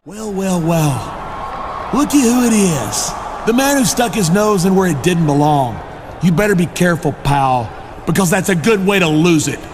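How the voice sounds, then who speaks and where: Smug wrestling villain in a game, taunting, high voice, noisy backdrop. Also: male voice, lively intonation, unhurried. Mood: threatening. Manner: taunting, sarcastic. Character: smug, sneering, menacing schemer wrestler. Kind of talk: video game cutscene acting. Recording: clear background noise.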